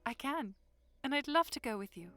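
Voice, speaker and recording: Eager young princess voiced by a woman, higher register, courteous and playful, light music behind. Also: animated, moderate pace, a bit bright. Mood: polite, earnest. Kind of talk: audiodrama acting.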